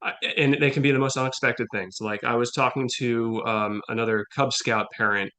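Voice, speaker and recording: Bright-voiced middle-aged man chatting as interview guest. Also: medium pitch, fairly even intonation, a little fast. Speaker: guest playwright. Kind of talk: casual conversational interview.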